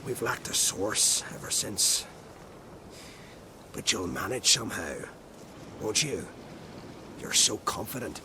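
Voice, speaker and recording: A crotchety old druid from a fantasy game, low in pitch and full of testy condescension. His phrases trail into breathy whispers, and there is clear noise behind him. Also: lively intonation, slow, pauses often. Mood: haughty. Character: crotchety, haughty old male druid and alchemist. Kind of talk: scripted video game acting.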